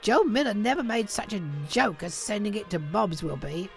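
Elderly storybook gentleman played by a woman, gruff turned giddy, emphatic, creaky and swooping. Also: medium pitch, expressive, normal speed, faint music behind. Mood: excited, delighted. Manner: exuberant, emphatic, theatrical.

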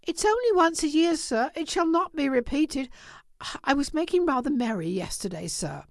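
Meek storybook clerk, a man played by a woman, deferential, pleading, with animated pitch. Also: fairly quick, no room sound, clean studio sound. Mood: apologetic. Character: humble, apologetic middle-aged male clerk, meek.

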